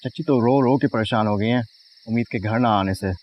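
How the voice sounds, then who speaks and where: A man's voice: lowish voice, fairly quick, lo-fi sound, slight background noise, natural voice. A man playing an anxious young son. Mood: worried. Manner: anxious, subdued. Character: worried young son of the house.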